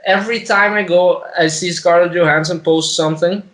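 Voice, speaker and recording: A man co-hosting a podcast, chatting casually about a film in a sweary, slangy way, halting and repeating phrases. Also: high-pitched, fairly even intonation, a bit bright. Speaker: podcast co-host.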